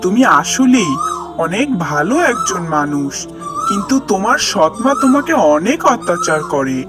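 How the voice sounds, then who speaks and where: A performer voicing a kindly, wise talking tree in a children's cartoon, calm and comforting. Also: medium pitch, moderate pace, lightly acted. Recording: music behind the voice.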